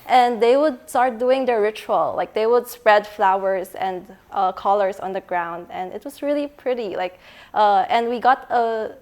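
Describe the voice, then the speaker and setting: A young woman on a student panel, lively and chatty as she tells about a trip, laughing easily. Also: medium pitch, a little slow. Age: young adult. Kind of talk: casual storytelling.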